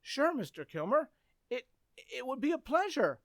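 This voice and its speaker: An earnest, easily flustered teenage boy, acted by a man in an old-time radio comedy. He is polite and stammers hesitantly, his pitch moving freely, in a very dry close recording. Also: big pitch swings, normal speed, fairly bright tone, no room sound, full character voice. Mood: polite, relieved. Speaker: community theater actor.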